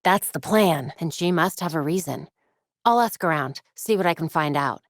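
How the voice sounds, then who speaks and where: A woman's voice: deep voice, expressive, normal speed, dry recording, studio quality. An actress voicing a witty, determined young female vigilante and detective in scripted video game lines, with a wry, earnest delivery. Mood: wry, focused. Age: young adult.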